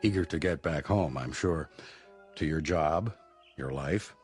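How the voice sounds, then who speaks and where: An actor playing a fatherly sanitarium doctor, measured and reassuring, in a radio drama. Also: a bass voice, darkish tone, breathy edge, soft background music, full character voice.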